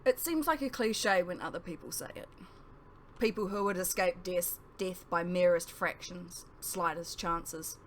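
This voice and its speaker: A woman with a slightly low voice narrates a novel in the first person. She phrases emphatically and leaves dramatic pauses. There is clear noise in the background of the recording. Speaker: author reading own novel aloud.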